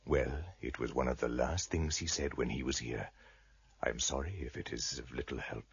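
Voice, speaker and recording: An actor playing a shrewd, courtly blind trader in a radio drama, husky and gravelly, speaking in a measured, formal, wry way. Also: male voice, a bass voice, steady tone, no echo, highs cut off, exaggerated voice.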